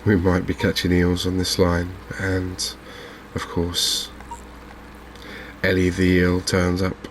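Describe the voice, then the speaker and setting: A soft-spoken man giving unhurried ASMR commentary on a video game. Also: sits low, monotone, slight background noise. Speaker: gaming video host.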